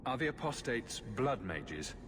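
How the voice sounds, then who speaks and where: An actor playing a bold, defiant champion adventurer in a video game, direct and dry. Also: male voice, medium pitch, sing-song, unhurried, background noise. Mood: questioning.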